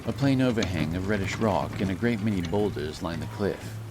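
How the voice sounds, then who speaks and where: A man narrating a fantasy audiobook in a solemn, measured storytelling style. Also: low voice, lively intonation. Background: music and rain sound effects.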